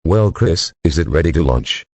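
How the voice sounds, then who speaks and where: Guy doing a gravelly evil mastermind for an audio drama, super deep, bossy and grandiose, dry close sound. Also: expressive, normal speed, no echo, full character voice. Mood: scheming. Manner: commanding, grandiose. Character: scheming male villain and escaped convict, gang boss. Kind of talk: amateur audio drama acting.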